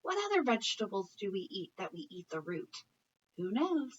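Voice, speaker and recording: A woman reading a picture book aloud as an educator, talking to children and parents in an expressive, encouraging, questioning way. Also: mid-range voice, moderate pace, husky, recorded from a distance, dull audio.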